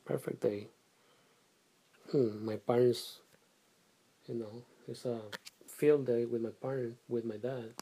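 A middle-aged man from Guatemala, answering questions in a casual family interview, halting and soft-spoken with pauses and restarts. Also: mid-range voice, steady tone, husky. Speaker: interviewee, father.

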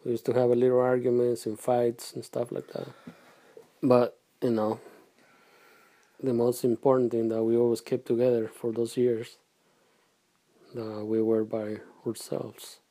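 Very dark-voiced man, halting. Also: medium pitch, completely flat delivery. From Guatemala. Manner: halting, hesitant, soft-spoken, with pauses and restarts. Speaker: interviewee, father. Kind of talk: casual family interview.

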